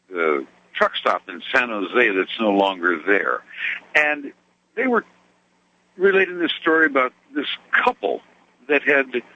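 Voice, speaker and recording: Lively, husky-voiced man calling a radio show. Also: mid-range voice, muffled recording. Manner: genteel, lively storyteller with laughs. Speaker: caller, truck driver. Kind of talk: telling a story on a phone call-in.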